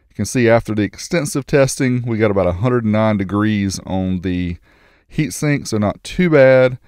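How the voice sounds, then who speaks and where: Man, low voice, dry close sound, casual tech narration. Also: moderate pace, a little mellow, clean tone, no room sound. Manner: matter-of-fact, technical, casual. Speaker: car audio reviewer. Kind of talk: product review and test narration.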